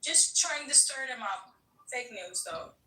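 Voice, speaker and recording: A fiery, blunt woman cuts in, her voice bright and edgy and a little higher than most. She sounds far off, in a room with echo. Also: expressive, measured pace. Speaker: podcast guest. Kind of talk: casual political chat. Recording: echoey room, far from mic.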